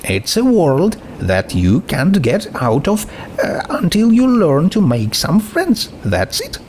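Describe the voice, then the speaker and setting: A man voicing a cool, pitiless teenage girl with a condescending, calmly menacing manner in a dramatic story reading. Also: a little high, takes it slowly, a little hiss or hum, pitched above the performer's own voice, heavily acted. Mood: smug.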